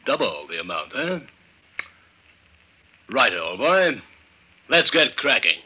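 An actor playing a jaunty, unflappable English clerk who climbs mountains, with a British accent and breezy, clipped understatement, in old-time radio style. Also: male voice, medium pitch, sing-song, takes it slowly, background noise. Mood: eager, sly. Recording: vintage radio drama recording.

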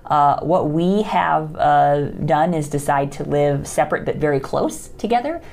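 A woman's voice: low voice, expressive, measured pace, vocal fry, a little mellow. A woman, hosting a podcast and casually answering listener questions, with lots of fillers and restarts. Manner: conversational, many fillers and restarts. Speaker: podcast host.

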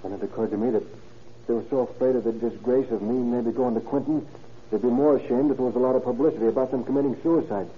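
An actor playing an anxious, talkative young murder suspect in an old radio crime drama, speaking in slangy, earnest pleas. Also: male voice, mid-range voice, fairly even intonation, fast talker, lightly acted. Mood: grim recounting. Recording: muffled, noisy vintage radio recording.

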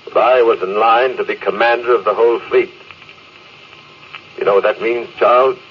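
An actor playing a proud, ambitious, middle-aged space officer in an old radio drama, with a commanding, self-important manner. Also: male voice, mid-range voice, fairly even intonation, normal speed, close to an everyday voice. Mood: proud, insistent. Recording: muffled old radio broadcast, faint hiss.

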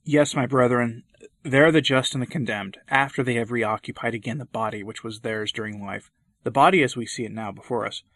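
A man's voice: medium pitch, brisk, a bit bright, no echo. A man reading a sermon aloud in a solemn, impassioned, old-fashioned declamatory style. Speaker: preacher.

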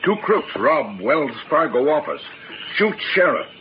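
Terse, loyal male scout sidekick, clipped broken English, lively pitch swings, vintage muffled radio western. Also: a little slow, background noise. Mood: grave, reporting. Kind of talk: old-time radio western acting.

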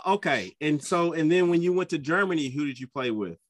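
A man hosting a soccer podcast, chatty and enthusiastic, often jumping up in pitch when he gets excited. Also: fairly quick. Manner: enthusiastic, chatty, with sudden upward pitch jumps. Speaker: podcast host. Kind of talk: casual podcast interview.

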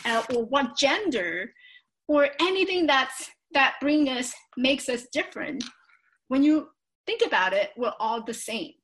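A woman's voice: sits high, lively intonation, measured pace, a bit bright, rough recording. A woman from Taiwan, telling her personal story earnestly as an interview guest. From Taiwan, moved to the United States at fifteen. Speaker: podcast guest, author.

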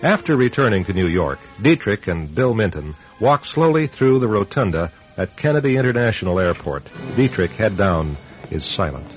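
A man's voice: lowish voice, sing-song, normal speed, soft background music. A man narrating a radio suspense drama as a solemn, dramatic storyteller. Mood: solemn, suspenseful. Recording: dull old radio-style recording.

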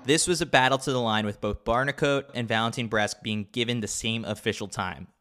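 Clear-voiced man reading wry sports news. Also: medium pitch, brisk, bright, forceful tone. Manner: wry, tongue-in-cheek. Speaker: podcast reader of a sports newsletter. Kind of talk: scripted narration with wry commentary.

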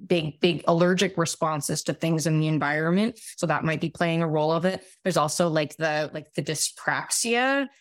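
A woman in her late thirties with a raspy voice, explaining things conversationally as an expert guest, often halting and restarting her phrases. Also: deep voice, no room sound, clean studio sound. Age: late 30s. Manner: halting, self-interrupting, many repeated words. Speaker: podcast guest, medical doctor.

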